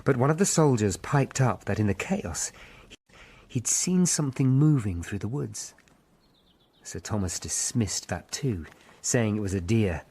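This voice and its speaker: A man's voice: lowish voice, expressive, measured pace, a little mellow, breathy edge, full character voice. An actor playing a sober Tudor lawyer, narrating his investigation in first person for a radio drama. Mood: measured, tense.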